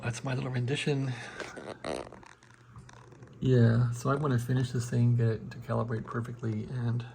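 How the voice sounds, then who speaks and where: Gravelly American man, casual hobbyist show-and-tell. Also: medium pitch, a little hiss or hum. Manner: rambling, folksy, colloquial. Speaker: radio hobbyist showing a restoration project. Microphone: handheld phone.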